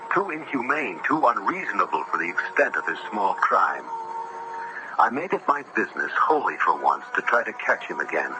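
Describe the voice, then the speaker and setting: An actor playing an earnest, compassionate young judge who tells a ghostly tale in formal, solemn radio drama style. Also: male voice, lowish voice. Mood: somber, troubled. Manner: formal, literary, solemn storytelling. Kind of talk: 1970s radio drama narration and acting. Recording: noisy vintage radio broadcast recording.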